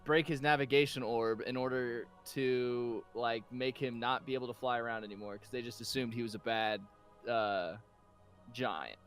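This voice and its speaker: A young man playing a tabletop game, telling things in an animated, playful way. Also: mid-range voice, moderate pace, soft background music. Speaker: player. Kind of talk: casual tabletop game banter.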